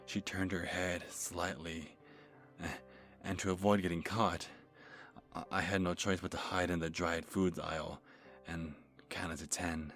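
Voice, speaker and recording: A man playing a nervous, creepy lovestruck stalker telling a first-person horror story in an ASMR manner, halting and stammering as he corrects himself. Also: low voice, little pitch movement, a bit bright. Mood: nervous, obsessive. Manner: halting, stammering, breathy sighs and self-corrections. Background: music.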